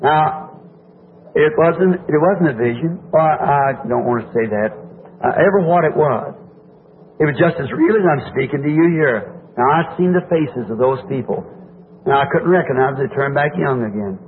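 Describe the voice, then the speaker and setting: A hoarse older man preaches in a folksy, rambling way, stopping to ask his congregation questions. His voice sits a little high for a man. It comes through a thin, phone-like old recording with a faint hiss underneath. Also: lively intonation, normal speed. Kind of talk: sermon. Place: church meeting.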